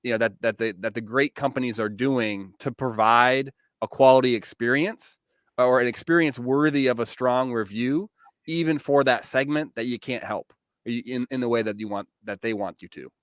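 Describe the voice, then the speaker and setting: A man's voice: mid-range voice, normal speed, fairly bright tone, no echo, studio quality. A man in a casual professional conversation, thoughtfully building up to questions as an interviewer. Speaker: interviewer, industry discussion partner.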